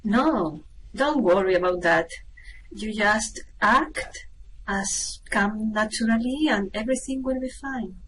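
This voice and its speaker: A woman acts as a patient, practical spaceship crewwoman in a radio play. She speaks slowly and matter-of-factly at a middling pitch, over clear background noise. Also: lightly acted. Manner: patient, matter-of-fact, reassuring. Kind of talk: amateur radio play acting.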